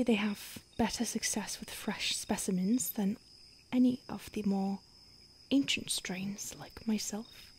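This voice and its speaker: A woman playing an ancient, secretive vampire in a whispered roleplay ASMR, hushed and halting with deliberate pauses. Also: mid-range voice, lightly acted. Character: ancient female vampire, secretive, urgent, weary, protective. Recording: faint hiss, outdoor night insects.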